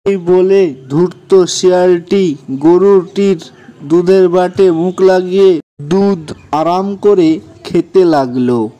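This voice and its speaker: A man's voice: high voice, takes it slowly, warm tone, poor audio. A man narrating a scripted children's story as the storyteller.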